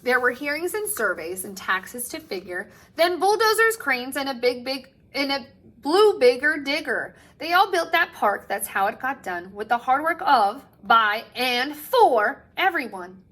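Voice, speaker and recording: Lady teacher reading a kids book out loud, highish bright voice, super expressive, draws out line endings. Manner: animated, dramatic pauses, drawn-out phrase endings. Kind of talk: expressive picture-book read-aloud for children.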